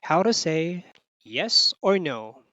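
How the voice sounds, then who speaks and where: A teacher's voice slowly repeating short phrases in a language drill, each one said clearly and evenly. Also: mid-range voice, big pitch swings, sharp tone, no room sound, lo-fi sound. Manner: deliberate, evenly paced repetition of short phrases.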